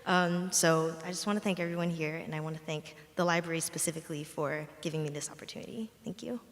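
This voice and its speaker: A woman's voice: deep voice, fast, noisy background. A young adult woman, a native Mandarin speaker, giving a short, casual acceptance speech with fillers. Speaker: undergraduate award recipient. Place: library ceremony hall. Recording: long hall reverb.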